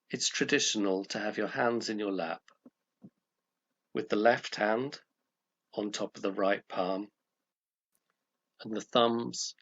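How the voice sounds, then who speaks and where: A man with a fairly low voice leads a guided meditation. He gives gentle, calm instructions in short measured phrases, pausing between them. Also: slightly rough, highs cut off.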